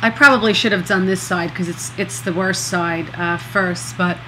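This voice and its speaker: A middle-aged woman in a casual video diary, talking slowly and earnestly with a congested nose and many pauses. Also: lowish voice, fairly even intonation, sharp tone, a little hiss or hum, low-quality recording. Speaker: vlogger recovering from nose surgery.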